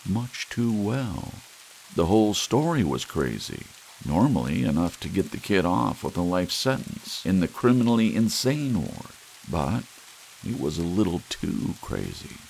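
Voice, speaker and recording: A man narrates a short story in a hushed, soothing audiobook manner. His voice sits very low and carries a gravelly fry. He takes his time over every phrase. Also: wildly swooping pitch, unhurried, a little hiss or hum, rough recording. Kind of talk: soft ASMR story reading.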